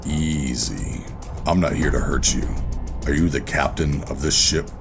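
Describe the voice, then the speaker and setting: An actor playing a hardened, wary operative who narrates a sci-fi horror audio drama in a brooding, deliberate, terse way. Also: male voice, rumbling low, fairly even intonation, creaky, full character voice. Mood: firm, calming. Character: hardened covert operative narrating, adult man, wary and steady. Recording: music behind the voice.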